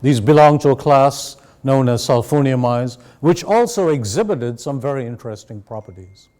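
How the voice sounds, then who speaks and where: A man raised in Sri Lanka giving a measured, explanatory university lecture to an audience. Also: mid-range voice, lively intonation, darkish tone. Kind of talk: academic lecture.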